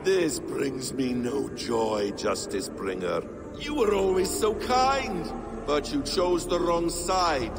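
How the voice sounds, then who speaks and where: A man's voice: fairly high pitch, big pitch swings, slow, vocal fry, heavily acted. An actor playing a vengeful, half-mad assassin villain in a video game, theatrical and menacing as he savors each word. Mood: cold regret. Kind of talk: dramatic video game cutscene acting. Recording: cavernous reverb, music underneath.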